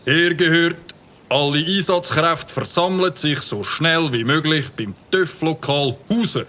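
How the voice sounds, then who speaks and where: A man's voice: a little high, unhurried, bright, forceful tone. A man doing an officious Swiss village cop. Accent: Swiss German. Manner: officious, commanding. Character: stern, officious male village policeman. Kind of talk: children's audio drama acting.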